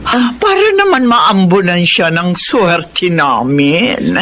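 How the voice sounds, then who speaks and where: A woman's voice: fairly low pitch, very animated, takes it slowly. A woman acting a smug, showy married neighbor in a radio drama, with a Filipino accent.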